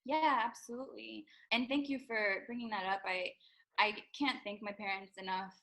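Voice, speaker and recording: A woman from Bangladesh, raised in New York City, talking as an actress and interview guest over a video call, animated and earnest, laughing easily. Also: mid-range voice, moderate pace, poor audio.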